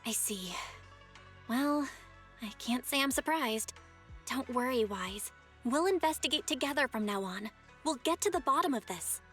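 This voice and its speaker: Young female game investigator: bright, animated, earnest, expressive, music behind. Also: high voice, a little slow. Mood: gentle, determined. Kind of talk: video game story dialogue acting.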